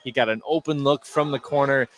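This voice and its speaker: A man giving live, unscripted basketball analysis in short, halting phrases. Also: mid-range voice, steady tone, fairly bright tone, highs cut off, slight background noise. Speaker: sports color commentator.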